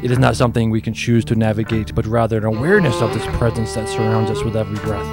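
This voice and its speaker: A man's voice: on the low side, fairly even intonation, fast, close to an everyday voice. A man giving a dramatic reading as a solemn, portentous, theatrical narrator of a grandiose proclamation. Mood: solemn, ominous. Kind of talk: dramatic reading over spooky music. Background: spooky music.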